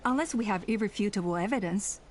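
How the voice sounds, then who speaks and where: A woman playing a composed, tactful female strategist in a video game, speaking in a measured, formal, articulate way. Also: medium pitch, a little hiss or hum, close to an everyday voice. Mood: calm persuasion.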